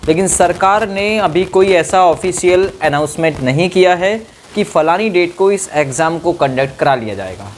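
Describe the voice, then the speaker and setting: A man's voice: high voice, lively intonation, normal speed, few pauses, slight background noise. A man giving an informal explainer to students, repeating phrases for emphasis and checking in with short tag questions. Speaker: exam-news presenter. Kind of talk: informal explainer addressed to exam candidates.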